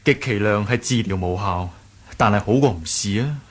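An actor playing an earnest, hot-headed young male martial arts sect leader in a radio drama, with a husky voice. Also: lowish voice, normal speed, close to an everyday voice. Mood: calm, concerned. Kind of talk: Cantonese radio drama acting.

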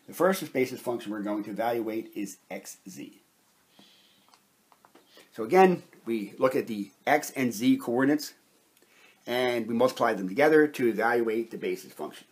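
Male lecturer, slightly rough husky tone, medium pitch, methodical step-by-step lesson delivery. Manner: methodical, explanatory. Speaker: chemistry lecturer. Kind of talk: step-by-step whiteboard lesson narration.

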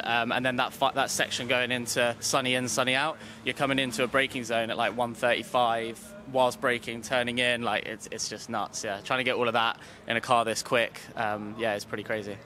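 A man speaks with a bright, keen-edged voice in a casual racing interview. He is on a handheld mic under an awning, with light outdoor noise around him. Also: mid-range voice. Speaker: amateur racing driver being interviewed. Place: inside a paddock awning tent. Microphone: handheld interview microphone.

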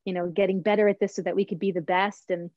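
A middle-aged woman telling her story in an animated interview over a video call, talking with her hands and often adding "right?". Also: mid-range voice, quick pace, dry recording, muffled recording. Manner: expressive, gestures while talking, frequent "right?". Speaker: guest, voice teacher and singer. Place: home room with bookshelves.

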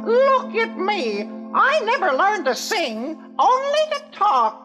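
A woman's voice: very high voice, huge pitch swings, slow, heavily acted. A woman voicing a small, shy female canary who talks instead of sings, hesitant with many fillers. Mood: plaintive. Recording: dull lo-fi record with music.

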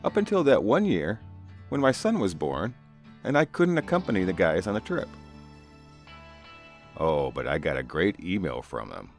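A man's voice: sits low, big pitch swings, normal speed, long pauses. A middle-aged man narrating a first-person spooky story in a conversational, folksy way. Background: music.

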